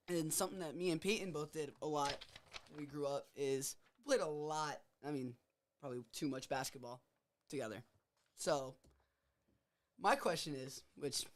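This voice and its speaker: A man co-hosting a casual livestream chat, talking informally and asking questions. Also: fairly high pitch.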